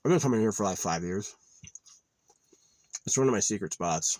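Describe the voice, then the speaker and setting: Young man, very low voice, meandering casual delivery, dry close sound. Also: animated, normal speed, a little mellow, no room sound. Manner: meandering, offhand, trailing off with filler. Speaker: vlogger. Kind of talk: rambling casual monologue. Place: outdoors at a quiet wooded campsite.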